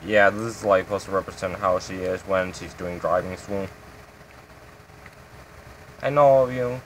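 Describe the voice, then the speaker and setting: A man's voice: low-pitched, moderate pace, slight background noise, poor audio. A man hosting his own game channel, giving a casual, rambling tour of his builds with lots of fillers.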